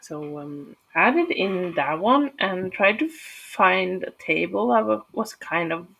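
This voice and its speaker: A woman's voice: fairly low pitch, expressive, slow, vocal fry, a bit bright, low-quality recording. A woman from Norway with a Norwegian accent, talking casually and hesitantly through a game build with many fillers. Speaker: gaming channel host.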